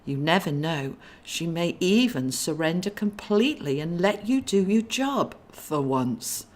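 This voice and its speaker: A woman's voice: lowish voice, animated, a little slow. A woman voicing a kindly male angel in a storybook, a genteel, musing big brother with a plan. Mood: persuasive.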